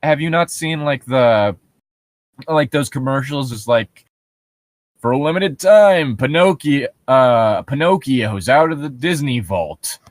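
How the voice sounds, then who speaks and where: A man's voice: mid-range voice, expressive, slow pace, dry recording. A man co-hosting a comedic podcast, chatting casually and reading aloud with a sardonic, profane manner. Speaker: podcast co-host. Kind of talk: casual comedic chat while reading horror stories aloud.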